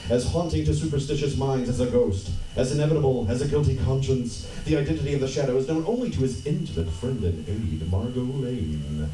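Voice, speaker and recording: A man's voice: mid-range voice, dark tone. A man announcing an old-time radio show live, in a hammy, playful way with comic asides. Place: live panel in a room. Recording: echoey noisy convention room, distant mic.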